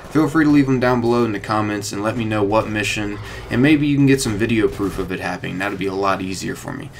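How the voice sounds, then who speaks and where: A man's voice: fairly low pitch, a little hiss or hum. A young man hosting a gaming video with informal, conversational commentary.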